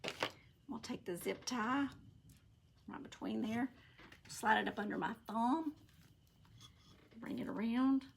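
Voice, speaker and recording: A middle-aged woman with a raspy voice and a Southern US accent, casually talking through a craft project while she works with her hands. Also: fairly high pitch, measured pace, long pauses. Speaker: craft channel host. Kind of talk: casual live craft tutorial. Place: indoor home craft room.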